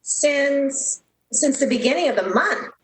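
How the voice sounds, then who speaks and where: A woman's voice: high-pitched, animated, measured pace, a bit bright, rough recording. A woman playing a dreamy, lovestruck young switchboard operator in 1930s radio style, earnest and gushing. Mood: earnest, devoted.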